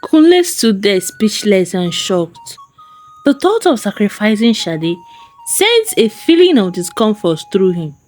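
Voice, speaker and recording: A woman's voice: mid-range voice, big pitch swings, measured pace, a little mellow. A woman narrating a folktale as a storyteller, in a dramatic scripted style. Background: faint music.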